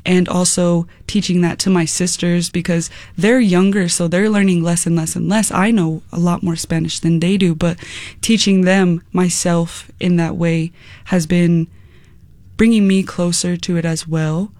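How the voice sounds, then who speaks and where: A Mexican American singer-songwriter from the United States, talking about their music in a relaxed, rambling way with many "like"s and "um"s. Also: medium pitch, a little slow, dry recording. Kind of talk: casual interview chat.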